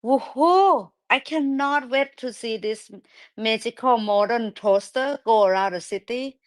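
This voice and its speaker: A woman with a thick Thai accent hosting a live audio chat, speaking broken English in an animated, excitable way. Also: fairly high pitch, unhurried, no room sound. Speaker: host.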